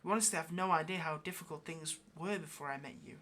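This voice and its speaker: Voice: medium pitch, bright, forceful tone, lightly acted. Lonely, hesitant demon sealed away, played by an actor. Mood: grateful, gentle. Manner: hesitant, halting, coaxing. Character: lonely, pleading sealed demon, clingy and affectionate. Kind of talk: ASMR roleplay, intimate one-sided conversation.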